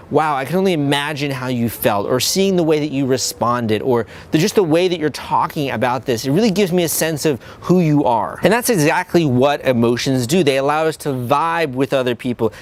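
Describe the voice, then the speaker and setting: A young man hosts his own channel, explaining ideas in a loose, conversational flow. His voice sits a little high for a man, and he keeps an upbeat, chatty tone throughout. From El Salvador, grew up in the US. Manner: upbeat, conversational, lots of "like" and "you know". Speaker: crowdfunding coach and channel host. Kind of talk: casual talking-to-camera advice video.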